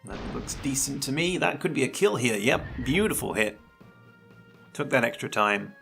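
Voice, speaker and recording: A man commentating a video game match live, chatty and analytical, his voice a little croaky. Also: mid-range voice, expressive, normal speed, a bit bright, faint music behind. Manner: chatty and analytical, laughs often. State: slightly croaky voice. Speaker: esports commentator.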